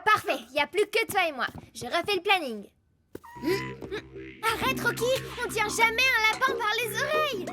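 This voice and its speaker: A woman's voice: very high voice, expressive, moderate pace, over loud music. A woman voicing a bossy but caring, organised young schoolgirl in a French cartoon, firm and childlike.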